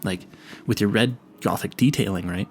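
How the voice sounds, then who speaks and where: A man's voice: on the low side, moderate pace, lightly acted. A man playing a nervous, clueless young vampire hunter in an audio roleplay, stammering and easily sidetracked as he earnestly chats to the listener. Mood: excited, proud.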